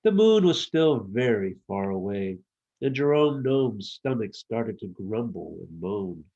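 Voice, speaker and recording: A man reading his own children's book as a storyteller, with expressive, measured narration. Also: on the low side, muffled recording.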